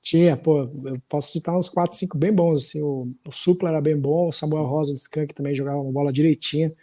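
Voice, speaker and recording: A man in his forties with a Paulistano accent talks in a loose, meandering way, often tagging his sentences with little questions. His voice sits a bit high for a man but sounds warm and mellow. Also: fast talker. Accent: Paulistano Brazilian Portuguese. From São Paulo, Brazil. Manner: relaxed, rambling, with frequent tag questions. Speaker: podcast guest, musician. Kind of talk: casual storytelling chat.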